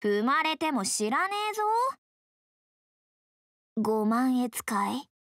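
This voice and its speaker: Brash anime game tomboy girl, bright forceful voice, blunt slangy talk, easily flustered, very dry close sound. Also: fairly high pitch, lively intonation, takes it slowly, no echo, heavily acted. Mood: cocky. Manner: rough masculine slangy speech, blunt. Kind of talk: video game character voice lines.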